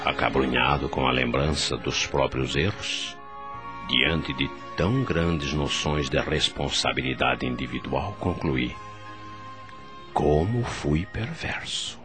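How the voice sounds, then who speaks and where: An actor playing a remorseful doctor in the afterlife, telling his story gravely and sorrowfully in a Brazilian radio drama, with a Brazilian accent. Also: rumbling low, slow, music in the background. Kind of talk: dramatic first-person radio narration.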